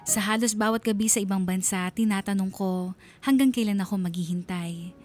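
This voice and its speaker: An actress playing a reflective, steadfast wife, narrating a scripted radio drama monologue in a solemn, heartfelt, deliberate way. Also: female voice, mid-range voice, darkish tone, soft background music, lightly acted. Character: reflective, steadfast wife narrating her letter of long waiting.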